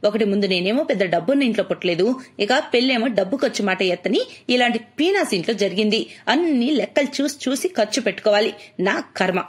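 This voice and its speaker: A woman's voice: mid-range voice, animated, fast talker, slightly rough. Woman acting a discontented, insistent young wife. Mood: resentful. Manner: complaining, insistent. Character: discontented, status-hungry young wife in a moral story.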